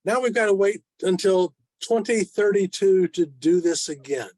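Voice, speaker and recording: A man's voice: high voice, expressive, moderate pace, a little mellow, dry recording. An older man in his seventies presenting informally to a club on a video call, with a wry, self-deprecating manner. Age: 70s. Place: online video meeting.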